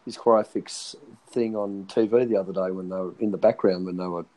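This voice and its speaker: A fairly low-pitched Australian man talks casually, his voice hoarse and phlegmy from a sore throat. He is laid-back and hesitant, with plenty of ums and restarts. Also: steady tone, a little fast, a little mellow, low-quality recording. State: hoarse, partly lost voice. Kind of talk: casual chat.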